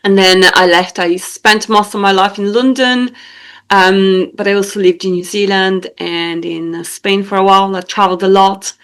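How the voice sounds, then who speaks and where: A woman's voice: medium pitch, steady tone, moderate pace, a bit bright, clear, resonant tone. A young woman with an Italian accent, a singer-songwriter chatting casually in an interview, with lots of fillers and hesitations. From Italy. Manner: laughs easily, many fillers and hesitations.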